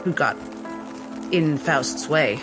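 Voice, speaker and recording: A woman playing a tabletop game, roleplaying and narrating with deliberate pauses between phrases. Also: low-pitched, animated, music in the background.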